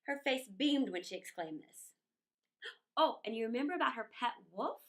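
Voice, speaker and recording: A woman reading a children's story aloud in an expressive, playful, theatrical manner with chatty asides. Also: a little high, normal speed.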